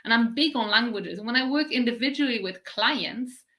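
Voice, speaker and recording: A middle-aged woman from Poland gives a spiritual live-stream talk. Her voice is bright and forward, and she stretches out key words slowly. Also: a little high. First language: Polish. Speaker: spiritual teacher.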